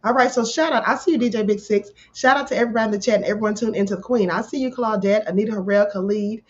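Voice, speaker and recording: A woman hosting a casual livestream, a little hoarse from a sore throat, greeting viewers in the chat by name. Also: mid-range voice, rapid-fire, lo-fi sound. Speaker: livestream host.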